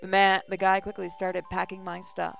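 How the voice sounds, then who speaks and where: Casting: female game-channel host, noticeable vocal fry, mid pitch, casual read-aloud of story text over soft music. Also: completely flat delivery, moderate pace. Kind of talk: reading visual novel text aloud, with casual asides.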